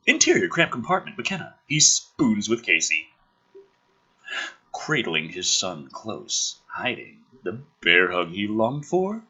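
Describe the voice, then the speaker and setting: A man doing a dramatic script reading with sarcastic, sweary commentary, swinging between hushed narration and exasperated ranting. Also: fairly low pitch, wildly swooping pitch, slow pace, slightly rough, highs cut off. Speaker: host of a gaming and commentary channel.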